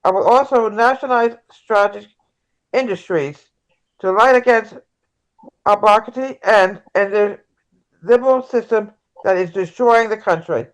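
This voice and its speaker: Halting, earnest woman candidate read by a woman. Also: medium pitch, lightly acted, rough recording. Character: earnest progressive woman presidential candidate in a debate. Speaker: presidential candidate in a play table read. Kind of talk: amateur table read of a stage play.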